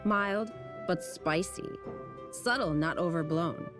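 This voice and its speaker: A flirty barmaid, eager to please, at a medium pitch. She talks in a coaxing, salesy way, with faint music behind her. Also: slow pace. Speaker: game character. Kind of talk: voiced game dialogue.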